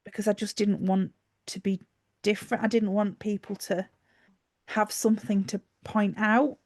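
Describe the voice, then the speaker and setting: A woman's voice: mid-range voice, level tone, moderate pace, darkish tone, no room sound. A woman with a Yorkshire accent, co-hosting a casual podcast chat and explaining her thoughts with fillers and restarts. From Yorkshire, England. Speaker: podcast co-host.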